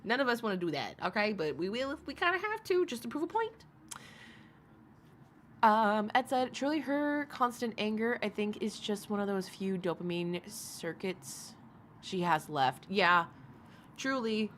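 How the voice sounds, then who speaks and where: A woman's voice: medium pitch, fairly bright tone, slight background noise. A woman commenting casually to her live chat, rambling and laughing often, with asides to viewers. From Alaska. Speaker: commentary streamer.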